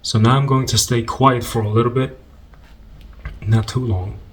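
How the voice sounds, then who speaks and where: A man leading a guided meditation in an informal, conversational way, unhurried and gently instructing, with pauses. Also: medium pitch, steady tone.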